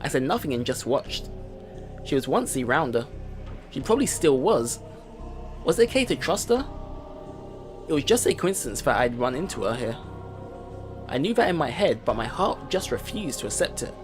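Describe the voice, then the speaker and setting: A man doing a let's play, reading visual novel narration aloud in an expressive storytelling style. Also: medium pitch, moderate pace, pauses often. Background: game music.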